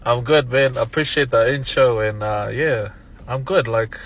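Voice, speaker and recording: Young Tongan man, easygoing podcast guest, mid-pitched voice, chuckles between thoughts. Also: moderate pace. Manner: laid-back, laughs often, many restarts. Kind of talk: casual interview chat.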